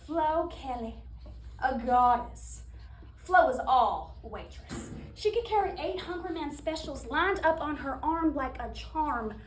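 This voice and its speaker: Woman playing sassy devout waitress, highish voice, emphatic comic stage monologue, preachy, distant echoey recording. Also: animated. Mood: admiring. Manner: emphatic, dramatic, punchy pauses. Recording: echoey room, distant mic, background noise.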